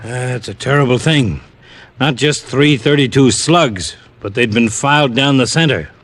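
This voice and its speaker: A grim policeman played by a man in an old radio detective show. The voice is of medium pitch, with a plainspoken, grave delivery. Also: normal speed, a bit bright, close to an everyday voice. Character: grim male police officer, friend of detective. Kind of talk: 1950s radio detective drama acting.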